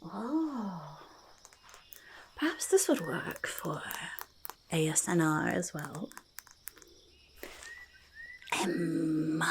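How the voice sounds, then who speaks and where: Quirky treasure-hoarding goblin played by a woman for ASMR roleplay: breathy half-whisper, gravelly fry, halting repetitions, faint background noise. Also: fairly low pitch, a little mellow, exaggerated voice. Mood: curious, pleased. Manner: halting, repeats words, third-person quaint phrasing. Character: quirky, treasure-loving, mildly menacing forest goblin, speaking in third person.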